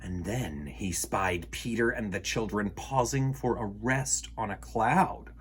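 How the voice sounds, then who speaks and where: A man telling a children's story in an animated, theatrical way, acting out the sound words. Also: lowish voice, slow, slight background noise.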